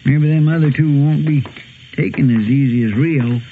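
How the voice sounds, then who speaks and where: A man's voice: fairly high pitch, moderate pace, natural voice. A man as a folksy, tinny-sounding western sidekick. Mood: eager, speculating. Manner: folksy, deferential, chatty. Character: folksy, loyal male marshal's helper. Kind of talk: 1950s radio western acting. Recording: tinny, noisy 1950s radio recording.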